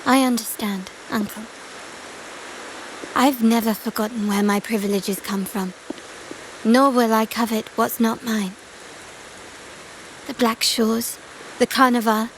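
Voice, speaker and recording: Poised, high-voiced young noblewoman. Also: steady tone, slight background noise, low-quality recording. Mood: cool, deferential. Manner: formal, polished, measured. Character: poised young noblewoman of a powerful crime family, coolly loyal. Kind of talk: scripted video game voice acting. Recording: background music under the voice.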